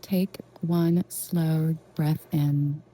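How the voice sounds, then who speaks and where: Slow, very mellow woman guiding meditation. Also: sits low, fairly even intonation, low-quality recording. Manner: gentle, reassuring, unhurried with long pauses. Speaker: guided meditation host. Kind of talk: soothing guided meditation narration.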